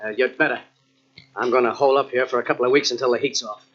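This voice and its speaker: A man's voice: mid-range voice, fairly even intonation, fast talker, a bit bright, natural voice. An actor playing a menacing, grandiose gangster in an old-time radio crime drama, with bossy tough-guy talk and a frantic edge. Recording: muffled old radio cassette transfer.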